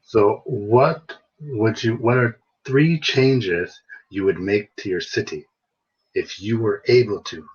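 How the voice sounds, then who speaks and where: A man's voice: fairly low pitch, slow pace, slightly rough. A man tutoring English on a video call, patiently asking simple questions. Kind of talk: online English lesson conversation. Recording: dull lo-fi video call audio.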